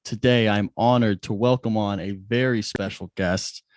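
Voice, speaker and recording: A man's voice: on the low side, fairly even intonation, normal speed, no echo. A young man from Indiana hosting a podcast interview, chatty and self-deprecating, often restarting his sentences. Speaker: podcast host. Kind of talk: casual interview chat.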